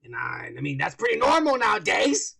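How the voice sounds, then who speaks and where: A raspy, gravelly-voiced man with a high, lively pitch, co-hosting a podcast. He rambles in crude, profane casual talk, sounding high and loose as he drinks. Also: a little fast. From United States. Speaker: podcast co-host. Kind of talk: casual chat.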